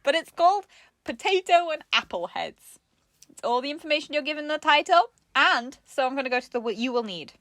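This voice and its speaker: A woman's voice: high voice, very animated, a little fast, fairly bright tone, no echo, clean studio sound. A woman hosting a casual comedic craft chat, giggly and playfully mocking as she talks. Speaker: craft show host.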